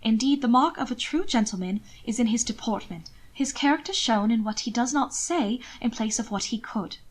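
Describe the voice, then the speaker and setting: A woman voicing a prim, guarded young gentleman who speaks in stiff, defensive period language. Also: a little high, expressive, normal speed. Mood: stern reading. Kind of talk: fiction read aloud, character dialogue.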